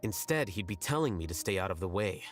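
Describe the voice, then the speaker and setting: A man playing an aloof, blunt scholar-official in a video game, speaking in a dry, measured deadpan. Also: low voice, faint music behind. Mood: coolly reproving.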